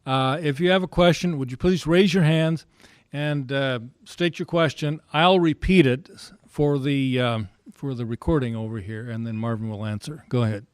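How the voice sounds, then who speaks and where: A man's voice: medium pitch, moderate pace, clean tone, dry recording. Man moderating a meeting, dry sound. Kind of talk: informal announcements to a club meeting.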